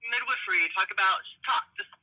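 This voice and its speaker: A naturally loud woman speaks in a casual interview over a remote call, her voice very bright and forceful. She talks in an animated, open way, with many restarts as she thinks aloud. She sounds like a grown mother in midlife. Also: medium pitch, fast talker, low-quality recording. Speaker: parenting program guest.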